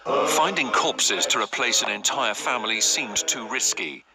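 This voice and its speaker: A man's voice: mid-range voice, unhurried. A man narrating a true-crime documentary from a script in a dramatic style. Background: prominent music.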